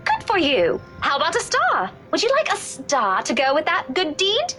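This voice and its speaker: A woman's voice: sits high, wildly swooping pitch, moderate pace, sharp tone, heavily acted. An actress playing a blunt, sarcastic young woman in a game. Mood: teasing. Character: sharp-tongued young woman, blunt and teasing. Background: faint game music.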